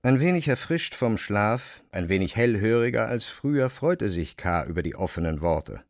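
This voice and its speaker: A man narrating a literary radio drama in German in a measured, deliberate way. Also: on the low side, big pitch swings, no room sound, clean studio sound.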